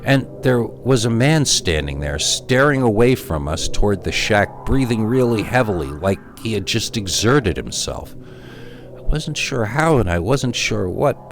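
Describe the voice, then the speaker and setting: Low-voiced man telling scary stories, lively delivery. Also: slight background noise. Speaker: horror story narrator. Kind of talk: scripted first-person scary story narration.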